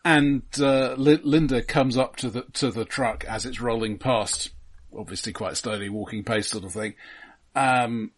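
A man with a husky, mid-pitched voice talks casually as a podcast co-host. His remarks are dry and wry. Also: unhurried. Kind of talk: casual film discussion.

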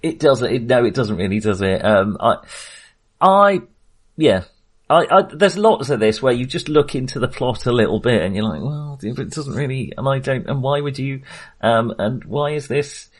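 A man co-hosting a film podcast in casual discussion, with a halting, hesitant and amused way of talking. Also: medium pitch, lively intonation.

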